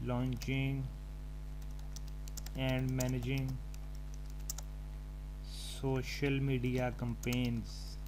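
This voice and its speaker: A man presenting an unscripted step-by-step tutorial, haltingly thinking aloud as he types. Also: medium pitch, completely flat delivery. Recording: home recording with clear background noise.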